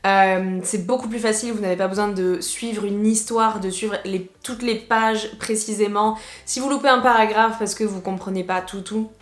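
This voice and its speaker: A woman from southwest France with a southwestern French accent, giving a casual video lesson as an English teacher, chatty and lively, slipping English phrases in. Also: medium pitch, moderate pace, echoey room.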